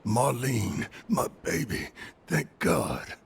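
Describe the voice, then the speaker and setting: Gravelly, wildly expressive gruff father. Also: medium pitch, full character voice. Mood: relieved, tender. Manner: emotional, blustering, halting when softened. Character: gruff, hot-tempered, devoted father and fighter. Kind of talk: video game voice acting.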